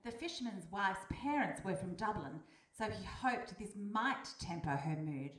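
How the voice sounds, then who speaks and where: A woman's voice: mid-range voice, very animated, moderate pace, a bit bright. A woman narrating a radio play in scripted storytelling style. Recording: echoey hall, distant mic.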